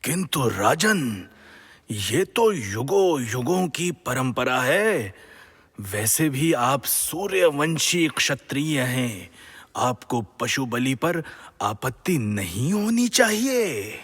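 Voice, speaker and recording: A man playing a stern, venerable sage priest in a mythological audio drama, speaking solemnly and formally in a gravelly, husky voice. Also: mid-range voice, very animated, takes it slowly, fairly bright tone, heavily acted. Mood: insistent. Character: venerable, stern sage priest presiding over royal ritual.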